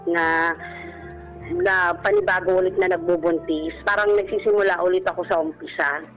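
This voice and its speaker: Casting: woman, 30s, low voice, polite radio caller telling her own story over background music. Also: expressive, normal speed, a bit bright, muffled recording. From Philippines. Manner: respectful, frequent polite particles. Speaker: caller on a radio advice show. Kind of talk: personal storytelling, conversational. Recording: radio broadcast with music bed.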